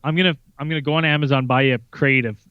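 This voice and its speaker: A man's voice: on the high side, fairly even intonation, rapid-fire, smooth, no echo. A man co-hosting a podcast, teasing the others in playful casual chat. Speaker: podcast co-host. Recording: dry, dull lo-fi sound.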